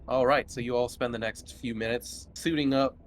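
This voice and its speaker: A man's voice: mid-range voice, fairly even intonation, moderate pace, slight background noise. A man running a tabletop roleplaying game as game master, narrating casually.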